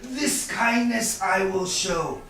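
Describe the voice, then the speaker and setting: Man acting a high-voiced bitter moneylender, echoey room. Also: slow pace, pushed and bright, exaggerated voice. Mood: slyly friendly. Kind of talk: staged reading of Shakespeare. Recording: distant, echoey room with background noise.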